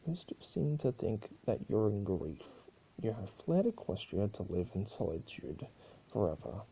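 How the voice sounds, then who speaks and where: A theatrical, needling chaos spirit from a storybook, acted out by a man. The male voice is dark and husky, with pitch that swings widely as he toys with his listener. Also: sing-song, measured pace, heavily acted, rough recording. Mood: sly, needling. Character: mischievous male chaos spirit, teasing, occasionally grave. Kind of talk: dramatic fan-fiction reading.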